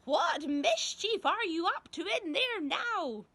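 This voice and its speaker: A woman's voice: very high voice, lively intonation, pushed and bright, no room sound, voice pushed up, exaggerated voice. A woman reading a children's storybook, voicing a grumpy, spiteful old grandmother who scolds in a screech. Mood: screeching suspicion. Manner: scolding, drawn-out, insulting.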